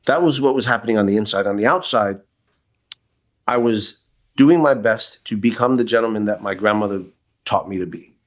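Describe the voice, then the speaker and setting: Reflective low-voiced man in his forties. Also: fairly quick. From New York City. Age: 40s. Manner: reflective, candid, frequent restarts. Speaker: podcast guest, kink educator. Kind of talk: casual interview, personal story.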